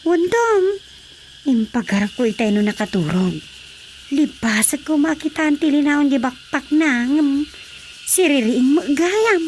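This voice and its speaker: An actress plays a caring but wary village woman in a radio drama. Her voice is high and conversational, with faint insect-like noise behind it. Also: animated, a little slow, a little mellow. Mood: confiding. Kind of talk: radio drama acting, natural dialogue.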